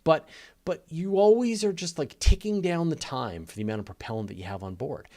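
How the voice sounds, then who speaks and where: A man's voice: fairly high pitch, a little fast, mellow. A man hosting a live question-and-answer show, explaining conversationally and emphatically in an off-the-cuff way, with repetition and everyday comparisons. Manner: conversational, emphatic, builds points through repetition and everyday analogies. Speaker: host of a live astronomy Q&A.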